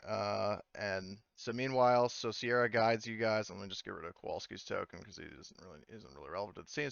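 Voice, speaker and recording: A man narrating a tabletop game off the cuff as game master. The voice is fairly low and clean, the delivery halting and casual, recorded very dry with slightly muffled audio. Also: vocal fry, sharp tone, clear, resonant tone, no echo. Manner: halting, informal.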